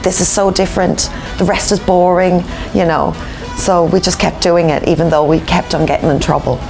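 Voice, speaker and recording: Low, gravelly-edged Irish woman over music. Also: steady tone, moderate pace, low-quality recording. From Ireland. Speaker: Irish dancer in a comedy circus show. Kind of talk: casual documentary interview storytelling. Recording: music behind the voice.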